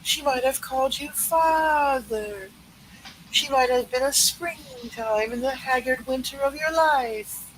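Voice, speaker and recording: A woman playing a solemn, reproachful ghost in an amateur play reading, slow and deliberate, with some noise behind her. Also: high-pitched, low-quality recording. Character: solemn, reproachful ghost showing a miser his past.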